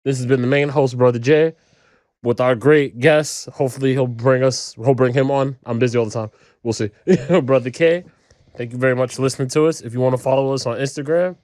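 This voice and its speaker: A man's voice: mid-range voice, darkish tone, dry recording. A man co-hosting a podcast in a studio, wrapping up in a jokey, giggly way. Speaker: podcast co-host. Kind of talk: casual sign-off.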